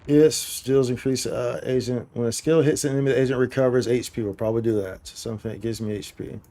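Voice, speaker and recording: A man with a mellow, dark-toned voice streams games with faint music behind him. He comments casually on the play as it goes, in loose, slangy, bro-and-dude talk. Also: medium pitch. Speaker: game streamer. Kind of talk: casual live gameplay commentary.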